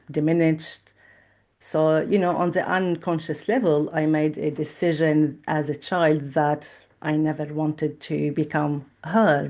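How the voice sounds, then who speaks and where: A middle-aged woman from Lebanon with a low voice speaks slowly. She weighs each thought, pausing often with small fillers as she recounts her past in a reflective interview. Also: darkish tone. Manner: measured and thoughtful, with frequent pauses and fillers. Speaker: trauma therapist guest.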